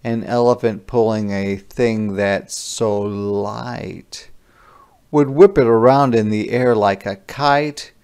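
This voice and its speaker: A man reading a children's storybook aloud expressively and deliberately, with dramatic emphasis and drawn-out line endings. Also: on the low side, fairly even intonation, darkish tone, clear, resonant tone. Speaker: storybook reader.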